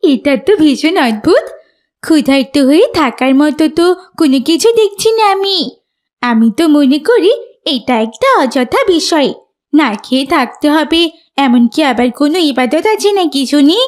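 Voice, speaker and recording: Snooty little girl for moral cartoon, high childlike pitch, scornful delivery, played by a woman. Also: expressive, unhurried. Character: Lamia, haughty scornful little girl who mocks fasting.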